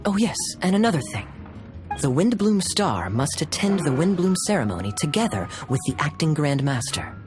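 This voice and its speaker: An actor playing a suave young officer in a video game, smooth-talking and wry with theatrical charm. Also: male voice, on the high side, very animated, normal speed. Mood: suave, amused. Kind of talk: English video game voice acting. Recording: music playing behind the voice.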